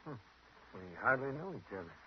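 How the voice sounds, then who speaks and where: An actor playing a guarded, secretly murderous tough guy in a 1950s radio drama, talking in a terse, halting, evasive way. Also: mid-range voice, sing-song, natural voice. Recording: muffled, noisy old radio recording.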